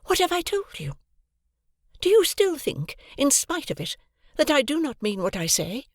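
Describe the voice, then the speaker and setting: Man doing a high, aloof young lady, unmoved, slow and cool, storybook reading. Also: dry recording, studio quality. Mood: sharp. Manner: calm, measured, high and light. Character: cold, proud young woman, calm and unmoved.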